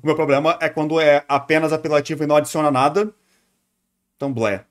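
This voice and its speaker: A man's voice: on the high side, fairly even intonation, fast. A Brazilian man giving casual, opinionated live reaction commentary as a streamer, thinking aloud conversationally.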